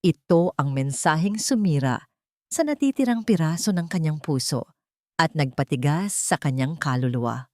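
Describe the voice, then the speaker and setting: A woman with a Filipino accent reading a scripted love story as a narrator, in a dramatic, deliberate way. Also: low-pitched, expressive, darkish tone, dry recording, clean studio sound.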